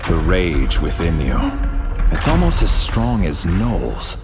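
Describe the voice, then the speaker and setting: A man's voice: deep voice, sing-song, takes it slowly, heavily acted. A man voicing a solemn cosmic champion in a video game, with formal, lofty, grandiose phrasing. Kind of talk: video game character acting. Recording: long reverb, music behind.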